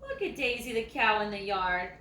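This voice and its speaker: A woman's voice: medium pitch, normal speed, bright, forceful tone, distant mic. A woman with a Southern US accent reading a children's story like a teacher, explaining and asking the young listeners questions. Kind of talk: children's story read-aloud. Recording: echoey room, faint background noise.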